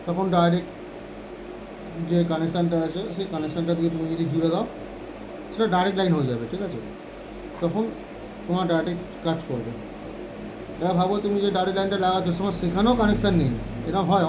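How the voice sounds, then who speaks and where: A man teaches in an informal, hands-on way. His voice is high and he talks quickly, often adding short check-in questions. The recording has clear background noise. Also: muffled recording. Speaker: phone repair instructor. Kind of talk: informal hands-on repair tutorial.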